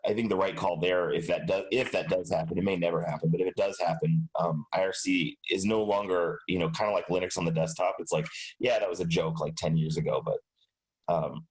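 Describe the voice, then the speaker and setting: A man's voice: low-pitched, low-quality recording. A man on a video call, a little stuffed up with a light cold, talking through a team decision with many restarts. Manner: rambling, many restarts and hedges. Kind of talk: casual meeting discussion.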